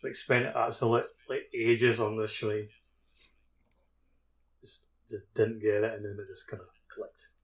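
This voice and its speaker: A man with a slightly low voice chats as a podcast co-host. His delivery is informal and rambling, looping back over his phrases as he explains. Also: fairly even intonation, unhurried, pauses often, rough recording. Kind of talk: casual chat, informal anecdotes and explanations.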